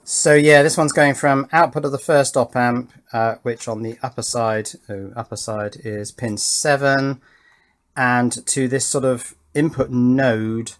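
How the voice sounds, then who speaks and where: A man in his fifties with an English accent, giving casual, rambling commentary as he works, hesitating and questioning himself as he thinks aloud. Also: mid-range voice. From England. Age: 50s. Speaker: electronics hobbyist and video maker.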